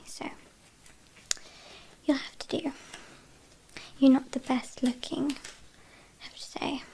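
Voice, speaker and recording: A British woman playing an ancient, possessive, haughty vampire in a whispered ASMR roleplay, speaking softly with quiet menace and condescension. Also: on the high side, heavily acted. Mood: possessive, menacing, then condescending, haughty. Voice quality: soft breathy whisper.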